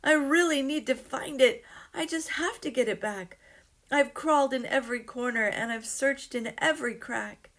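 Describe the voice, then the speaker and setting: A woman's voice: sits high, normal speed, a bit bright, voice pushed up. A woman voicing an emotional old man in a children's storybook, speaking in urgent exclamations. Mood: distressed, desperate.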